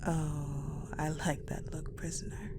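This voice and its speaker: A woman playing an imperious, sadistic ice-queen general in an audio roleplay, speaking in a haughty, taunting, deliberate way that often slips into a breathy murmur. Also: sits low, little pitch movement, very noisy. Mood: menacing fascination. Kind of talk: dramatic audio roleplay acting.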